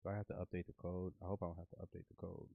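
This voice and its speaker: A man's voice: low-pitched, flat delivery, very fast, vocal fry, no echo, narrow, tinny audio. A man streaming live as he codes trading bots, sounding nasal while getting over the flu and muttering to himself and to his bots. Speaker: livestream host coding trading bots. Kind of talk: casual live coding stream.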